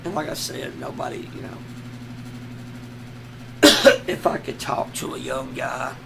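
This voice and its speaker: Hoarse man, rough fry in the voice, slow broken delivery, lying on a floor with heavy AC noise behind. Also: medium pitch. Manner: slow, halting, despairing, many trailing restarts. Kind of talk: casual personal vlog.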